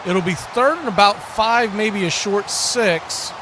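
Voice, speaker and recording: American male sportscaster, high voice, live football play-by-play, conversational yet excitable, noticeable background noise. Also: slow.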